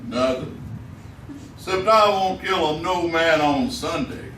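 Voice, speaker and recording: A man's voice: fairly high pitch, measured pace, a bit bright. An actor playing a blunt, menacing backwoods mountain man with a Southern mountain accent in an old-time radio western. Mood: grimly determined. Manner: blunt, folksy backwoods talk. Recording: roomy, distant old radio recording, noisy.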